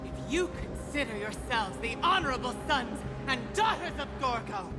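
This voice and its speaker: A woman's voice: high voice, lively intonation, normal speed. An actress playing a proud, fierce warrior queen and mother in a video game, speaking in commanding, theatrical, formal oratory. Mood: scornful, outraged. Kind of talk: dramatic video game voice acting. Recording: loud music under the voice.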